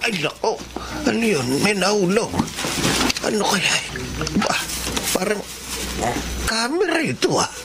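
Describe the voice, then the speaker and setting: Creaky, fussing Filipino grandfather. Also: sits high, sing-song, a little slow, long pauses, full character voice. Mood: muttering, puzzled. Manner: muttering, stammering, flustered. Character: fussing, apologetic old grandfather, timid with his granddaughter. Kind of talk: Filipino radio drama acting. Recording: noisy radio drama audio.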